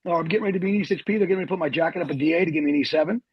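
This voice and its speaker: Middle-aged man, husky voice, quick rambling storyteller, dull dry lo-fi sound. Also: on the high side, no echo. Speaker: Army veteran guest. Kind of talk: storytelling interview.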